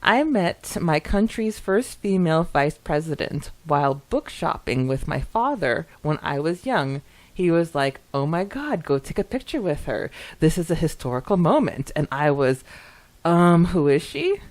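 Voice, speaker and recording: A woman with a slightly low-pitched voice co-hosts a podcast. She chats informally and reads out listener comments. Her delivery is enthusiastic and full of giggly energy. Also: big pitch swings, measured pace, a little mellow. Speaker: podcast co-host. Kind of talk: casual chat and reading listener comments aloud.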